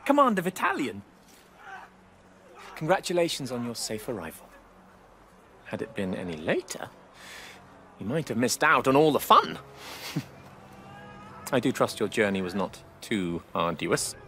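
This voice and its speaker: A man's voice: medium pitch, huge pitch swings, a little slow, long pauses, fairly bright tone, a little hiss or hum, heavily acted. A man playing an arrogant, cruel young Roman prince in a video game, with a pompous, mockingly polite manner. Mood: mocking politeness. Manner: pompous, sneering, theatrically polite. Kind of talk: video game cutscene acting.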